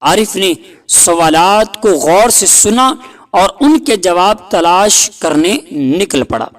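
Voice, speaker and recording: A man with a notably high voice narrates a folk tale. He takes it very slowly and deliberately, giving the story a dramatic delivery. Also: expressive.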